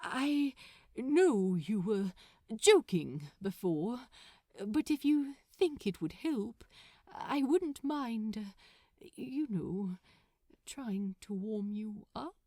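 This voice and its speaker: A woman voicing a polite, bookish, gentle man with a proper, hesitant manner in fan fiction dialogue. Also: fairly high pitch, lively intonation, drawn-out words, no echo, clean studio sound, full character voice. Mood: shy, hesitant.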